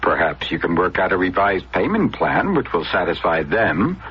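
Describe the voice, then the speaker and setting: Actor as low-voiced, lilting helpful official. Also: male voice, big pitch swings, normal speed, breathy edge, exaggerated voice. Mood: helpful, reassuring. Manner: courteous, theatrical. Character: helpful, reassuring consumer bureau man. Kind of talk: dramatized radio public service spot. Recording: dull old radio, faint noise.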